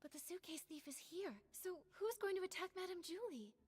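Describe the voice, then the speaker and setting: High-pitched earnest young adventuring heroine. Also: female voice, lively intonation, brisk, a little mellow. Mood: accusing, indignant. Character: earnest bright young female adventuring companion. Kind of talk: anime-style game voice acting.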